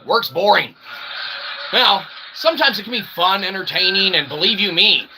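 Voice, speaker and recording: Man, show host, very lively intonation, folksy showman delivery, rambling comic monologue, theatrical asides, noisy recording. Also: sits high, normal speed. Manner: folksy showman, theatrical asides, often says "okay" and "that's right".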